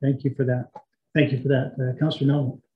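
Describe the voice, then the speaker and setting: A man chairing a council meeting as mayor, speaking in a deliberate, courteous and formal way. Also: medium pitch, level tone. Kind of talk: formal meeting remarks and questions. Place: large meeting room, indoors. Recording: dull, echoey meeting room audio.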